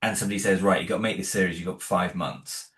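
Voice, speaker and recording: A man's voice: sits low, fairly even intonation, fast talker, fairly bright tone. A man, the creator of an audio drama, talking in a casual interview. He is modest and hesitant, with many restarts. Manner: modest, self-deprecating.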